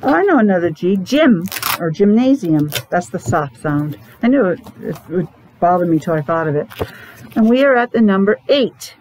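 A middle-aged woman teaching young children in simple, deliberate phrases with chatty asides, speaking through a face mask. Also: on the low side, sing-song, background noise. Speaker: preschool teacher. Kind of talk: children's classroom lesson. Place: small indoor classroom.

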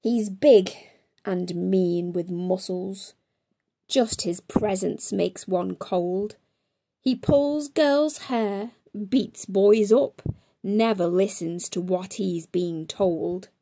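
A woman's voice: mid-range voice, extremely slow, gravelly, mellow. A middle-aged woman hosting a children's storytime, reading a picture book aloud expressively to young listeners.

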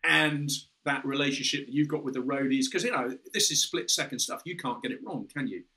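Bright-voiced British man explaining on a call. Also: medium pitch, fairly quick. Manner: explanatory, with many restarts and "you know". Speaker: guest, former fighter pilot. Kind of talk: casual interview over video call. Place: room with a window and red curtains, indoors. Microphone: video call.

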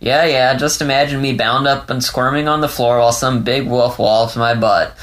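A man voicing a caring adult male dog who speaks in a soft, reassuring way. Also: medium pitch, fairly even intonation, normal speed, natural voice. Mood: gentle.

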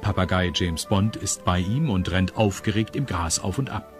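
A man's voice: low voice, normal speed, soft background music. A man narrating a scripted children's story, confiding in his young listeners. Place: studio.

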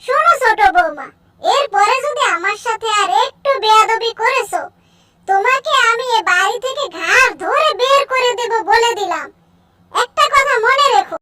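A woman plays a bossy older mother-in-law in a cartoon. Her voice is extremely high, piercingly bright and very dry, and she nags and threatens like the ruler of the household. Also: expressive, moderate pace, no echo, full character voice. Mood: scolding. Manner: nagging, sharp, threatening. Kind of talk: cartoon dub acting.

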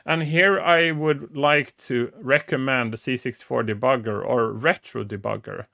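A man's voice: medium pitch, creaky, a bit bright. A middle-aged man whose first language is Swedish, hosting a casual technical explainer with frequent restarts and fillers, speaking into a headset. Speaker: show host. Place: small dim room indoors.

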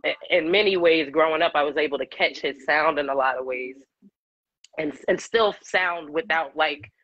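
A woman in her forties, a singer-songwriter guest with a deep voice, chatting animatedly and laughing often in an interview over a video call. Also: normal speed, dry recording, lo-fi sound. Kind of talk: casual interview chat. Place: home room, video call. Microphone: webcam on video call.

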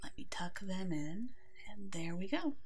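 A woman playing a kind, protective female werewolf alpha in an ASMR roleplay, speaking in a gentle, nurturing way that often slips toward a whisper. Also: medium pitch, expressive, unhurried, a little hiss or hum. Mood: tender, comforting. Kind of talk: ASMR roleplay acting, tender and soothing.

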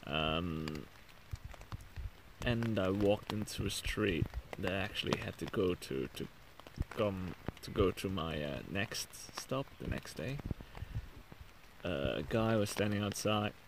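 Slow, gravelly young Belgian man. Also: lively intonation. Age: young adult. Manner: low-key, halting. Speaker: hiker vlogger. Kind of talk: casual vlog recap to camera. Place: inside a small tent outdoors. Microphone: camera or phone at arm's length. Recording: clearly noisy small-camera recording.

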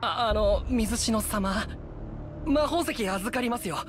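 An actor playing a deferential young sidekick in a video game, earnest and very polite. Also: male voice, sits high, slow, background noise. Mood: eager awe. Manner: formal, earnest, fawning. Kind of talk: dramatic video game acting.

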